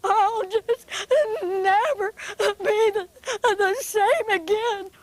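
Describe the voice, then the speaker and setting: A woman voicing a tearful little girl bunny in a puppet show, sobbing and wailing in a very high squeal. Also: fairly even intonation, very slow, dry recording, heavily acted.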